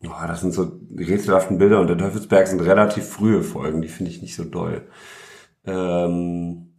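Man, low voice, blunt, opinionated, casual podcast chat, echoey room. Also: flat delivery, normal speed, darkish tone. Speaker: podcast co-host.

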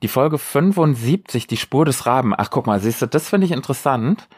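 A man's voice: mid-range voice, expressive, fairly quick, a little mellow, husky. Male podcast co-host, mid-range voice, informal, talkative and a bit rambling. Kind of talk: casual chat.

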